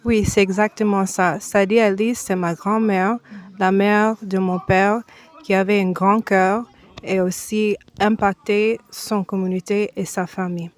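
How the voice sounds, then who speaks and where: A woman from the United States, answering a TV interviewer's questions slowly and with many hesitations. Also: mid-range voice, little pitch movement. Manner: slow, halting, with frequent hesitations and restarts. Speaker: foundation president, guest.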